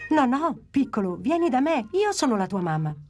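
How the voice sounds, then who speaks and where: A woman's voice: fairly high pitch, sing-song, normal speed, darkish tone, soft background music. An actress dubbing a tender, doting dinosaur mother in a cartoon. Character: fussy devoted dinosaur mother.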